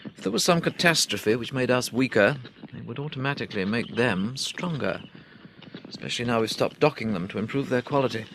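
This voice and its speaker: A shrewd English expert in an old radio drama. He muses and probes in a measured, medium-pitched voice, with faint noise and ambience behind him. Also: expressive, a bit bright. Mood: ominous. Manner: measured, musing, probing. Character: thoughtful, foreboding male triffid expert, shrewd and matter-of-fact. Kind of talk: classic British radio drama acting.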